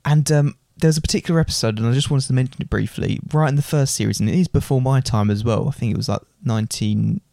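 A man's voice: medium pitch, fast, gravelly, few pauses, mellow, dry recording. A young man co-hosting a podcast, earnestly explaining his views in casual chat. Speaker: podcast co-host.

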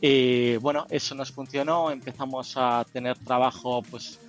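A man's voice: medium pitch, fairly even intonation, measured pace, faint music behind. A man with a Spanish accent from Spain, a company founder telling his story in a rambling, talkative way with lots of fillers. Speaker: guest, software company founder. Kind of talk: casual interview talk.

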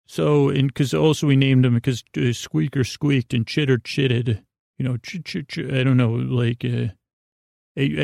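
A man with a gravelly, creaky voice hosts a bedtime podcast, telling rambling stories in a halting, meandering way and often interrupting himself. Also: medium pitch, a little mellow, dry recording, studio quality. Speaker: podcast host. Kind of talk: rambling bedtime storytelling monologue.

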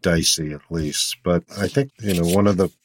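A man with a husky voice chatting casually as an interviewer. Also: low-pitched, steady tone, moderate pace, a little hiss or hum.

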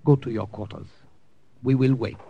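A man playing a loyal Siamese resistance fighter in an old radio drama. He has a medium-pitched voice and a grave, clipped, formal manner. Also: steady tone, normal speed, creaky, narrow, tinny audio, natural voice. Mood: quietly firm. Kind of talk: 1940s-50s radio drama acting.

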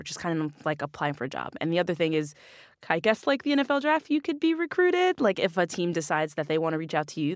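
A young woman, a bike racer, talking casually as a guest in an interview, naturally loud and laughing often. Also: lowish voice, dry recording, studio quality.

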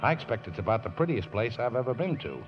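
An actor playing a warm-hearted, plucky middle-aged riverboat man with folksy working-class speech, in 1950s radio drama style. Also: mid-range voice, big pitch swings, fast, natural voice. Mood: wistful. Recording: dull old radio recording with music.

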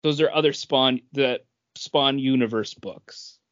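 A man's voice: mid-range voice, a little slow, fairly bright tone. A man co-hosting a podcast, casually discussing comic books. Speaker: podcast co-host. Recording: dull, lo-fi podcast sound.

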